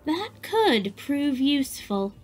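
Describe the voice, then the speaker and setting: A woman's voice: high voice, very animated, slow pace, soft background music, heavily acted. A woman voicing a proud, determined teenage computer hacker in a fan audio drama.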